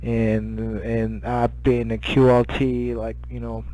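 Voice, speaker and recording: Middle-aged man, Japanese accent, amateur radio chat; unhurried, halting delivery with little pitch movement. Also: level tone, warm tone, poor audio. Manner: halting, filler-heavy, searching for words. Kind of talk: casual radio contact chat.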